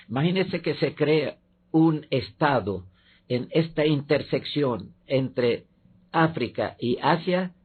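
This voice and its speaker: A man, a guest professor, giving measured political commentary in a debate, pausing often with fillers. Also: medium pitch, breathy edge. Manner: measured, halting with many fillers. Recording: tinny phone-like line.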